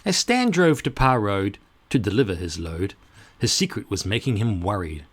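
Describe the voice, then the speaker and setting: A man reading a rhyming children's story aloud in an expressive, rhythmic, dramatic way. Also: on the low side, normal speed.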